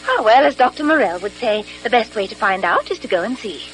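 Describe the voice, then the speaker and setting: An actress playing an eager, easily flustered English secretary to a doctor in a vintage radio drama. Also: female voice, on the high side, animated, quick pace, a bit bright. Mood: flustered, polite. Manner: hesitant, flustered, polite. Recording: noisy old radio recording.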